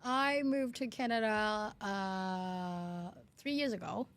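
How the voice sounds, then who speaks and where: Earnest Vietnamese-accented woman, medium pitch. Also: slow. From Vietnam, Hanoi. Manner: earnest, non-native phrasing. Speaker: interview guest, educator and CEO. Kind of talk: personal storytelling in an interview.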